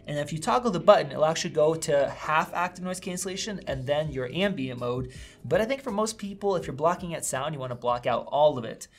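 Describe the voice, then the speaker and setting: A man's voice: a little high, fairly bright tone. Tech vlogger, man in his thirties, upbeat and chatty, hands-on testing at a desk. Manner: upbeat, conversational, thinking aloud while testing. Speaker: tech reviewer. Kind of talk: casual product review. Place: small indoor studio room with a vent running.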